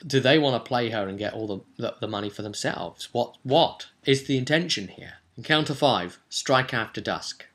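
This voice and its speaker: A man telling a casual recap of a tabletop role-playing session as its game master, with animated asides and rhetorical questions. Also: mid-range voice, normal speed, few pauses.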